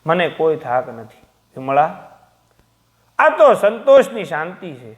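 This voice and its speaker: A man voicing a self-respecting elderly father in a story, with a measured, dignified manner. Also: a little high, very animated, big-room sound. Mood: contented.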